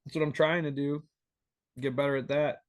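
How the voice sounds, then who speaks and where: A man in his thirties joining a podcast over a remote call as a guest, talking in an animated, rambling way and swearing freely. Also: a little high, fairly quick, no echo, clean studio sound. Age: 30s. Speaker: band member guest. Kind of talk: casual interview chat.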